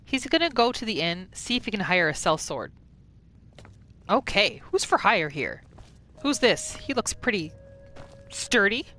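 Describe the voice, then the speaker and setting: A woman's voice: medium pitch, big pitch swings, unhurried, pauses often, pushed and bright. A woman gaming host casually narrating her roleplay playthrough in the third person, as a voice-over.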